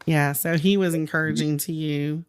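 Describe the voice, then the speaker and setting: A woman's voice: sits low, fairly even intonation, moderate pace, clear, resonant tone, no room sound. Podcast host woman, dry close sound. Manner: attentive, short affirmations. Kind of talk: scripted intro then interviewing.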